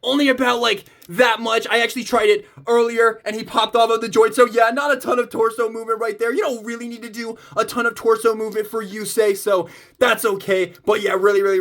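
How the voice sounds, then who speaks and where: High-voiced enthusiastic man reviewing toys. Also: a bit bright. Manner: gushing, enthusiastic fan talk. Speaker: toy reviewer. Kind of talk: casual unboxing and review chat.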